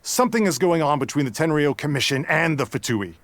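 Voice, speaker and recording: An earnest, lively soldier. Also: male voice, on the high side, fairly quick, dry recording. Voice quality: earnest and deferential. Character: earnest, respectful male soldier. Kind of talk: video game voice acting. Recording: dry, with game music underneath.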